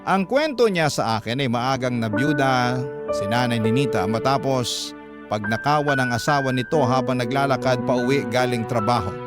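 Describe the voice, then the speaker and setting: A man with a Filipino accent narrating a scripted first-person story in classic radio drama style. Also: mid-range voice. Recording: loud music bed under the voice.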